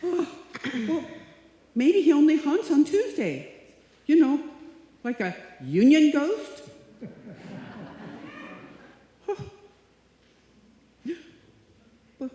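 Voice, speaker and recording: A woman's voice: sits high, very animated, measured pace, mellow. A woman playing a naive, timid and dim-witted roommate in a live old-time radio comedy. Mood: scared, naive. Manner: naive, earnest, hesitant. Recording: echoey hall, live stage performance.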